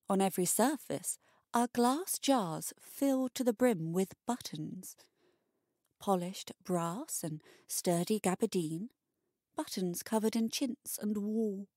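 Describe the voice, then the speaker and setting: A woman narrating a scripted short story in a deliberate, measured manner. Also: mid-range voice, dark tone, no echo, clean studio sound.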